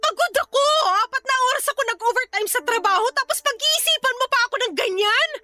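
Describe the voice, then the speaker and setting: A woman's voice: very high-pitched, expressive, quick pace. A woman playing a hurt, weary young working girlfriend in an emotive Filipino radio drama. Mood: hurt, exasperated.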